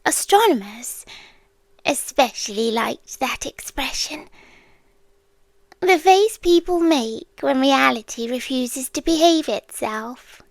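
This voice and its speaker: Casting: British actress as ancient female apocalypse being for ASMR roleplay, high soft whispery voice, tender yandere calm, extremely slow delivery. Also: no echo, heavily acted. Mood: calm, wistful. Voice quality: soft, breathy, often whispered. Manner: slow, deliberate, with long pauses. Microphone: close microphone, dry.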